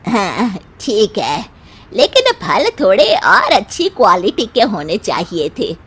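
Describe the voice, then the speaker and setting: A woman's voice: mid-range voice, wildly swooping pitch, takes it slowly, a bit bright, breathy edge, heavily acted. A woman voicing a demanding, scolding older mother-in-law in a cartoon moral story. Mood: grudging. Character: nagging, greedy mother-in-law, older woman, partial to her daughter.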